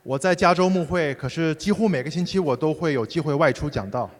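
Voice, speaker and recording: Male interpreter, rapid and fluent, slightly high, hall reverb. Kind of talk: consecutive sermon interpretation. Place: large church hall.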